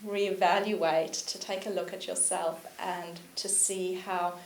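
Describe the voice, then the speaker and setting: A woman's voice: on the low side, flat delivery, unhurried. South African woman, echoey with faint hiss. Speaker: business consultant guest. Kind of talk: casual interview chat.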